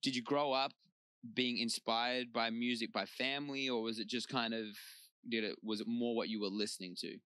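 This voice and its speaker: A man's voice: medium pitch, steady tone, moderate pace, sharp tone, smooth, dry recording. A man with an Australian accent interviewing a musician, enthusiastic and hypey, with lots of casual fillers.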